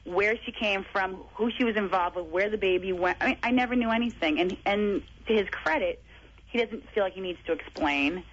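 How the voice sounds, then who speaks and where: A woman's voice: medium pitch, fairly quick, dull audio, a little hiss or hum. A woman actress chatting as a guest in a casual interview, full of fillers like "you know" and "like.". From first-generation American, family from Latvia.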